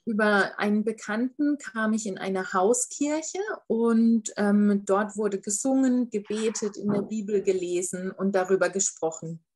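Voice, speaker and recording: Young woman reading a statement aloud. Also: medium pitch. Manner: careful reading with frequent hesitations. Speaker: reader of a refugee's statement. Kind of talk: reading a first-person statement. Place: living room, indoors. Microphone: laptop webcam on a video call.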